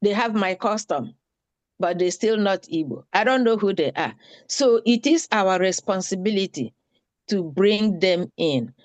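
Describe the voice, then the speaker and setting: A woman's voice: mid-range voice, lively intonation, measured pace. A woman makes an earnest, pleading appeal at an online town hall. She repeats her points for emphasis.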